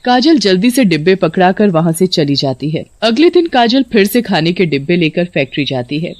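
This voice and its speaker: A woman storyteller delivers scripted narration. Her voice sits a little low for a woman, and the sound is dry and close. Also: very animated, moderate pace, a little mellow, no room sound.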